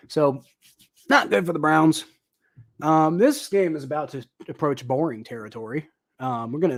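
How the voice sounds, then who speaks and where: A young man talks through a football game live, mixing quick play calls with loose, rambling asides full of ums and uhs. His voice sits at a middle pitch and has gone a little dry and raspy. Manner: rambling, thinking aloud with many ums and uhs. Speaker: livestream host commentating football. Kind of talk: casual live play-by-play commentary.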